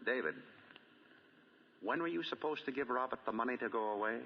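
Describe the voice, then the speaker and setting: Dull old radio recording, male actor as shrewd detective, swooping intonation, husky voice, accusing, deliberate. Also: medium pitch, lively intonation, in a large hall, a little hiss or hum, lightly acted. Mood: grave, accusing. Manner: probing, deliberate, accusing questioning. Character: shrewd, composed male amateur detective laying out a case. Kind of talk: 1940s radio drama acting. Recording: dull, lo-fi 1940 radio broadcast.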